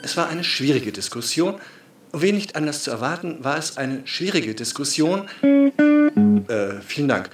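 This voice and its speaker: An actor playing an awkward man who gives a halting, repetitive speech in a radio play. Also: fairly high pitch, very animated, faint music behind. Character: faltering male speech-giver, repetitive and awkward. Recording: distant echoey room.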